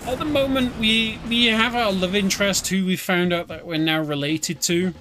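A man's voice: high-pitched, moderate pace. A man with a British accent gives casual, chatty commentary on a game as he plays. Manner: relaxed, chatty, explaining as he plays. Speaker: streamer.

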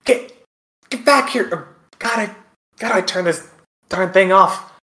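A man's voice: high voice, a little slow, pushed and bright, breathy edge, pitched above the performer's own voice, exaggerated voice. A man voicing a greedy, possessive scavenger woman in a tabletop game, petulant and insistent. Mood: irritated.

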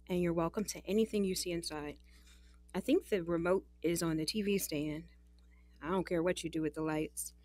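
A woman's voice: on the low side, a little mellow, dry recording, lightly acted. An American actress playing an independent, guarded but grateful young woman in audio drama dialogue, casual and candid.

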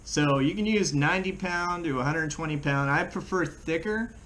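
A middle-aged American man giving a casual how-to demonstration in a plain-spoken, halting way, recorded indoors by a camera across a table. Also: on the high side, fairly bright tone. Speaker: fishing channel host. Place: small room at home, indoors. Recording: clear background room noise.

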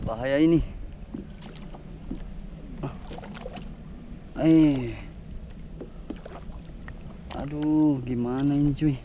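A young man fishing from a kayak at sea, exclaiming and groaning excitedly as he talks to his action camera. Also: a little high, very slow, long pauses. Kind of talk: casual first-person fishing vlog. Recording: outdoor wind and water noise.

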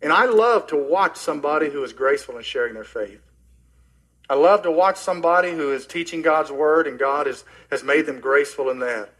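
A middle-aged man preaching a sermon as pastor, earnest and personal, often dropping soft and low at the ends of phrases. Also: fairly quick, poor audio. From Oklahoma, US.

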